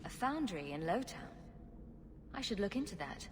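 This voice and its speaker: A woman's voice: medium pitch, sing-song, moderate pace, close to an everyday voice. An actress playing a blunt, flippant female adventurer in a dramatic video game, with a wry delivery. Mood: dry, curious. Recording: reverberant hall with ambient noise.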